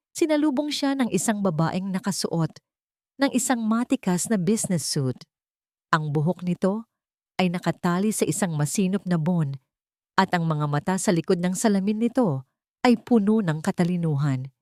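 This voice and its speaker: A woman's voice: on the low side, animated, normal speed, a little mellow, no room sound, studio quality. A woman with a Filipino accent reading a scripted dramatic story as its narrator.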